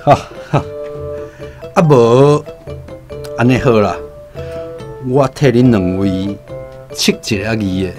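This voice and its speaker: A man acts out a courteous fortune-teller in a radio play. His delivery is slow and deliberate, with a Taiwanese Hokkien accent and a mid-range pitch. Faint music plays underneath. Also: lightly acted. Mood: obliging. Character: male fortune-teller, courteous, deliberate, reading written characters. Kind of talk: radio drama acting.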